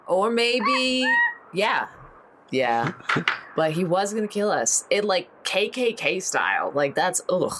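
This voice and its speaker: A woman playing a tabletop game, chatting casually and thinking aloud in halting phrases. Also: lowish voice, very animated, very noisy.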